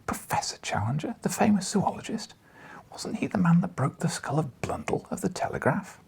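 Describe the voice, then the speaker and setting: An eager young newspaper reporter voiced by a male reader speaks with deference and earnest hesitation. The voice is very husky and breathy with lively pitch, like a nervous adventurer in a classic storybook. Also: moderate pace, whispered, full character voice. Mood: startled.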